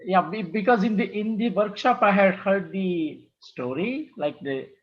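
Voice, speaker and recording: A man with a distinctly high voice chats casually in an online group discussion. The sound is a bit muffled, like a video call. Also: measured pace. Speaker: workshop participant.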